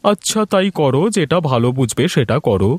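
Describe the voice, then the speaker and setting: A man's voice: mid-range voice, big pitch swings, fairly quick, darkish tone, no room sound. A man playing an affectionate, coaxing husband in a cartoon moral story. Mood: caring. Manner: coaxing, appreciative. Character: affectionate husband coming home, placating his wife.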